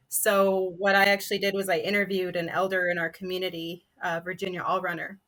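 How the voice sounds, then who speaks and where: Bright-voiced woman telling stories. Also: lowish voice, rough recording. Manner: casual, peppers talk with "like". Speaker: panelist, comic artist and illustrator. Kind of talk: personal storytelling in a panel discussion.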